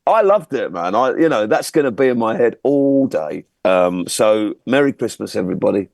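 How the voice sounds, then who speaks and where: A man's voice: on the low side, big pitch swings, normal speed, creaky, darkish tone, dry recording, studio quality. A man giving his opinions as a music review panellist, in informal, jokey, matey chat.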